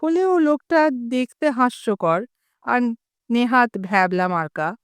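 Slow, smooth woman storyteller. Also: on the high side, no echo, clean studio sound. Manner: measured, expressive reading. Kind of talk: scripted story narration.

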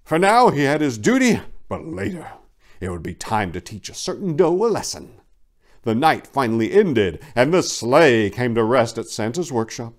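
A man's voice: mid-range voice, big pitch swings, moderate pace. A man in his forties giving a theatrical, emphatic, mock-serious dramatic reading of a story. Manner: theatrical, emphatic, mock-serious with big swells and hushed drops. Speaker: reader. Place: small indoor room lined with bookshelves. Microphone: lapel microphone.

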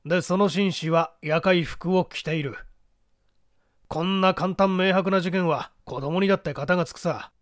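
A man's voice: sits high, steady tone, normal speed, no room sound, studio quality, voice pushed up, lightly acted. A man playing a condescending, self-assured police detective with a pompous manner in an audio mystery story. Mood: confident, dismissive.

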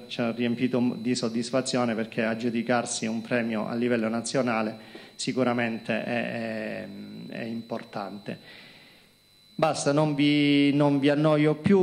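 Middle-aged man from Calabria, speaks at a lectern with a handheld mic, gravelly, stop-start with lots of fillers. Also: mid-range voice. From Calabria, Italy. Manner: halting, many fillers and repeated words. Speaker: company executive presenting to students. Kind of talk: public talk at a lectern. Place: conference hall with projector screen. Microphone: handheld microphone.

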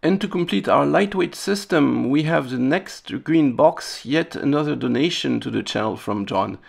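A man's voice: fairly high pitch, lively intonation, moderate pace, vocal fry, breathy edge. A man hosting a hobby electronics video, narrating a demonstration casually with playful, wry humor. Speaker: host of electronics restoration video channel.

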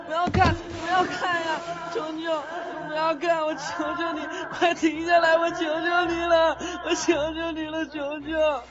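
A young man playing a gentle, naive ghost boy in a Chinese audio drama, speaking hesitantly. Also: very high voice, level tone, measured pace, few pauses, a loud crowd. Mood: terrified pleading. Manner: hesitant, soft-hearted, stammering. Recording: dull lo-fi audio with music.

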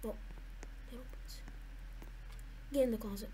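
A woman's voice: fairly high pitch, steady tone, measured pace, warm tone, husky. A woman giving nervous, jumpy commentary to her viewers while playing a horror game. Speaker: gaming YouTuber.

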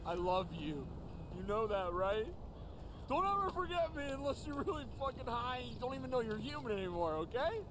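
An actor voicing an excitable, foul-mouthed stoner gang leader in a video game, ranting exuberantly. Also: male voice, very high-pitched, brisk. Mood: elated, sentimental. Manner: exuberant, crude, hyped-up ranting. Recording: loud vehicle noise behind.

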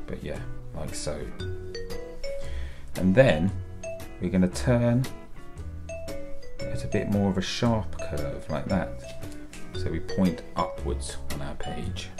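Man in his fifties, children's drawing lesson, lively sing-song delivery, lowish voice, home studio, faint music. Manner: upbeat and encouraging, talks children through each step. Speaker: children's author and illustrator.

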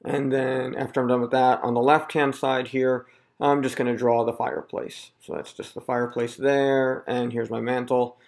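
Gravelly-voiced man explaining a DIY build. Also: mid-range voice, normal speed. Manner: relaxed, self-deprecating, explaining step by step. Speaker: DIY video host. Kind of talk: casual how-to narration. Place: home living room.

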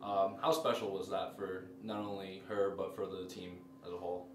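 A man's voice: sits low, flat delivery, normal speed, over music. A man interviewing athletes and a coach after a game, asking plain questions with fillers. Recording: roomy, distant, with background noise.

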